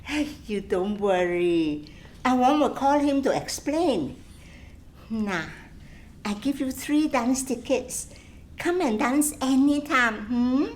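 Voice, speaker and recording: A high-voiced Singaporean dancing girl in a radio play, acted by a woman. She takes her time over each line. She is sassy and big-hearted, with blunt, teasing Singlish phrasing. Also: lively intonation, slow, slight background noise. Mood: coaxing, firm.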